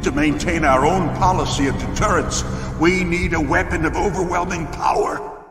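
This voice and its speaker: An actor playing a wounded, shifty older arms company executive in a video game, weary, halting and self-justifying. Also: male voice, fairly high pitch, fairly even intonation, lightly acted. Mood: earnest, self-justifying. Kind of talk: dramatic video game voice acting. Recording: echoey hall reverb over game music.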